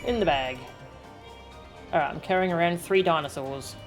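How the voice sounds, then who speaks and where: A woman's voice: deep voice, moderate pace. A woman streaming a video game, giving casual, playful, joking commentary as she plays. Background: music.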